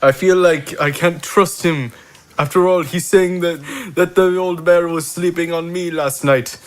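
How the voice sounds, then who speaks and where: A man's voice: fairly high pitch, fairly even intonation, measured pace, lightly acted. A man voicing a haughty, suspicious wooden rocking horse from the old country in an improvised audio drama.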